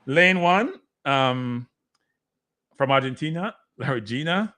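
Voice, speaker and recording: A man's voice: medium pitch, expressive, takes it slowly, pushed and bright. A man hosting a watchalong, giving casual live sports commentary. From Jamaica.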